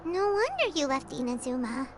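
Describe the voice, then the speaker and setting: A woman voicing a tiny, childlike fairy companion in a video game, squeaky, eager and excitable. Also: normal speed, over music. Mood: curious, supportive. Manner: excitable, speaks of herself in third person. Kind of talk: cartoonish video game voice acting.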